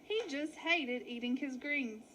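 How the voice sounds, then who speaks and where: A woman's voice: sits high, unhurried, pushed and bright, a little hiss or hum, rough recording. A woman narrating a children's story aloud in a theatrical, playful way. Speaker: storybook reader at a school.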